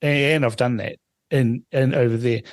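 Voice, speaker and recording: Chatty radio host from Fiji. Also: male voice, mid-range voice, fairly even intonation, normal speed, no echo, clean studio sound. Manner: chatty, long rambling questions. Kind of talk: radio interview.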